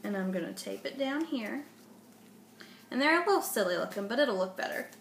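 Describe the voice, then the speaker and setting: A young woman with a fairly high voice speaks to children as a storyteller and presenter. She is recorded in a roomy home space with clear noise. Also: animated, brisk. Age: young adult. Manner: child-directed, asks the listener questions. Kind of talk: children's read-aloud and simple instruction. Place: indoors, home dining room.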